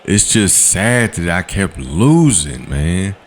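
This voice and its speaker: A man, giving laid-back voice-over commentary on his gameplay in a slangy, coaching way. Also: sing-song. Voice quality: low voice. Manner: laid-back, coaching, slangy with quick "boom" call-outs. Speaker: gaming channel host. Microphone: voice-over recorded separately from the footage.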